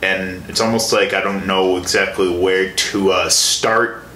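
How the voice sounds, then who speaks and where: Bright, lowish-voiced young man interviewing, echoey room. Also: fairly even intonation, unhurried. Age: 20s. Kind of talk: casual conversational interview. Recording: echoey room with some background noise.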